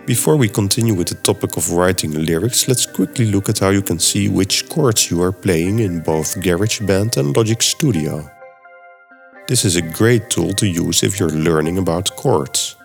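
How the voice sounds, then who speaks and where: A man's voice: low-pitched, normal speed, vocal fry, faint music behind. A middle-aged man, a native Dutch speaker with a Dutch accent, hosting a podcast and reading scripted segments with step-by-step explanations. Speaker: podcast host. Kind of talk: scripted podcast narration and software tutorial.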